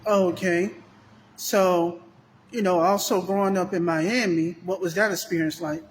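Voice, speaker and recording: A man's voice: high-pitched, steady tone, unhurried. A man hosting a podcast who asks short, plain questions and often starts with "okay". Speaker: podcast host, interviewer. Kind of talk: interview questions. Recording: reverberant room, faint noise.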